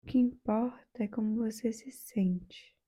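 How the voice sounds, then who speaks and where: A woman with a Brazilian accent leading a guided meditation in a soft, soothing, gentle way, leaving long pauses. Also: a little high, slightly rough.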